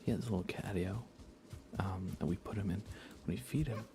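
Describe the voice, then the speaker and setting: A soft-spoken man chatting casually on an ASMR gaming livestream, relaxed and often slipping into a whisper. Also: low voice, a little fast, music in the background. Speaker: livestreamer and host.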